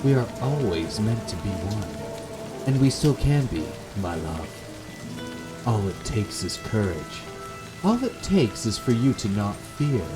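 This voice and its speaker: A man reading an eerie, devoted dead woman's words to her lover in a gothic horror visual novel, slow and dramatic. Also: lowish voice, very animated, poor audio. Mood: beckoning, intense. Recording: background music under the voice.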